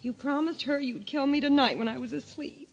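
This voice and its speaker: A woman playing a frightened, fretful young wife in an old-time radio drama, breathless, halting and melodramatic. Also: high voice, highs cut off. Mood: anxious.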